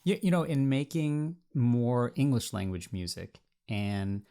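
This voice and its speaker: A man's voice: medium pitch, lively intonation, unhurried, dark tone, smooth. A man hosting a podcast, asking long, winding questions with frequent restarts and repeated words. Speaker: podcast host. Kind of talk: interview conversation.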